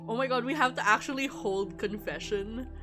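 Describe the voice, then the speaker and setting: A woman's voice: a little high, moderate pace. A woman livestreaming a visual novel, chatting casually in a wry, rambling way and reading game text aloud. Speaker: streamer playing a visual novel. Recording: music behind the voice. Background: music.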